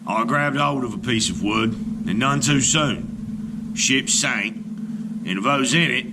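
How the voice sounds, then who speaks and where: A man narrating a folktale in the first person as a storyteller, in a deliberate, expressive, dramatic way. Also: medium pitch, very noisy. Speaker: storyteller reading aloud.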